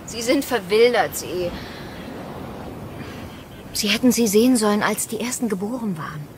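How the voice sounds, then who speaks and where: An actress playing a guilt-ridden geneticist and mother, speaking earnestly and often dropping into hushed tones. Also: medium pitch, animated, normal speed, background noise, natural voice. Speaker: scientist. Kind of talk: radio play acting.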